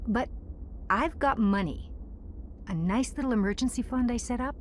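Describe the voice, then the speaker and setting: A woman's voice: a little high, normal speed, slight background noise, lightly acted. A woman playing a guilty, self-serving scientist in a video game, reasoning hesitantly and persuasively. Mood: pleading, bargaining.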